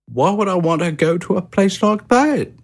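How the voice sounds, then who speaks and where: A man voicing a pampered, contented male pet hamster in a shrill, cheerful storybook voice. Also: lively intonation, moderate pace, gravelly, exaggerated voice. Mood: incredulous.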